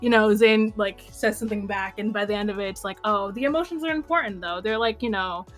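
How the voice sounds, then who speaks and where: A woman's voice: mid-range voice, quick pace, fairly bright tone, faint music behind. A young woman co-hosting a podcast in casual chat, telling a personal story expressively and acting out her own reactions. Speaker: podcast co-host.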